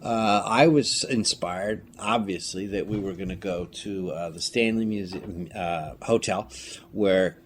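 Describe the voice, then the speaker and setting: A man speaking as an interview guest, telling casual anecdotes in an animated, emphatic way. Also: sits low, a little slow. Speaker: filmmaker and writer, interview guest. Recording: clearly noisy room ambience.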